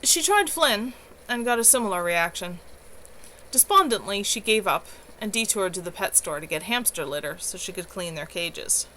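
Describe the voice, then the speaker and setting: A woman's voice: mid-range voice, expressive, normal speed, sharp tone, rough recording. Woman reading a story, some background noise. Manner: earnest, amateur storyteller delivery. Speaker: author reading own novel aloud. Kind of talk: expressive audiobook-style narration. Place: at home, livestream. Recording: clear background noise, home livestream.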